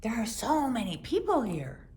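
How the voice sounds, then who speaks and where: Woman voicing high, exclaiming storybook follower. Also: very animated, moderate pace, darkish tone, slight background noise. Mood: worried. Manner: exclaiming, questioning. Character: wondering, worried crowd of followers in a storybook.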